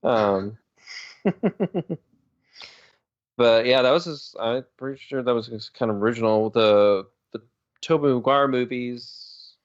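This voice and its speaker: A man's voice: mid-range voice, takes it slowly, long pauses. A man co-hosting a podcast, chatting casually and a little hesitantly as he pieces answers together, on a slightly muffled mic. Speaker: podcast co-host. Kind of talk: casual chat.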